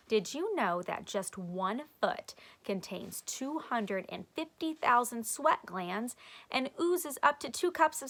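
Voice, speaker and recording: Female read-aloud teacher: high, bright voice, unhurried, expressive delivery with asides for children. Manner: expressive, slow, explanatory asides to young listeners.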